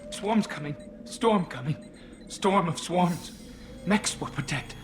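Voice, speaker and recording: An actor playing a traumatized survivor who mutters in halting, repetitive broken phrases. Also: male voice, high-pitched, animated, over music. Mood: frightened. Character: terrified man babbling in fragments, traumatized survivor. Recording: game audio with clear background noise.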